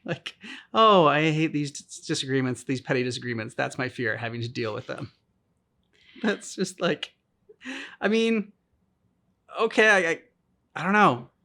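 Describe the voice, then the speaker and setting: A reaction show host with a mid-pitched voice chats casually about a game. The phrasing wanders and hesitates as thoughts form. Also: lively intonation, moderate pace, long pauses, bright, forceful tone.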